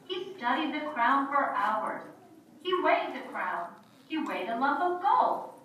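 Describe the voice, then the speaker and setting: A woman's voice: high voice, sing-song, takes it slowly, fairly bright tone. Echoey woman narrating a children's story. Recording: very echoey room, distant mic, faint noise.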